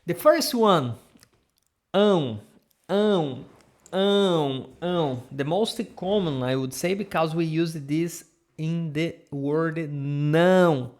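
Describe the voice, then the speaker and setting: Slow-talking Brazilian woman teacher. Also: fairly low pitch. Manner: slow, patient, repeats drilled sounds. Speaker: Portuguese teacher. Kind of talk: live online language lesson. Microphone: camera mic.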